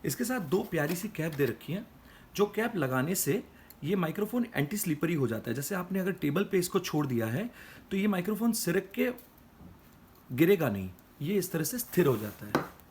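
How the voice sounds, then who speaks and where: A man with a slightly high voice presents a product demonstration. He explains things step by step and addresses viewers as friends. There is noticeable background noise around his voice. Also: very animated, normal speed, darkish tone. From India. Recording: clear background noise and ambience.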